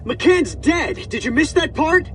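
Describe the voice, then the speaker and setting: Very high-pitched, hot-headed soldier. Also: male voice, very animated, takes it slowly, a little hiss or hum, exaggerated voice. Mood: outraged, shocked. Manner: protesting, emphatic. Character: hot-headed, outraged male squad soldier. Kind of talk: video game cutscene acting.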